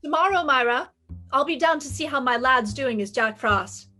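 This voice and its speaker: A woman's voice: high voice, lively intonation, moderate pace, bright, forceful tone, soft background music. A woman playing a breezy, wry female private detective in a 1950s-style radio play, with sassy delivery. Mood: polite, breezy.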